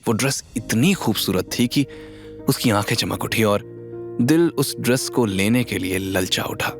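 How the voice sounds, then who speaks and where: A man's voice: fairly low pitch, a little slow. A man narrating a scripted drama story with dramatic storytelling delivery, over music. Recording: music bed under voice. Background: background music.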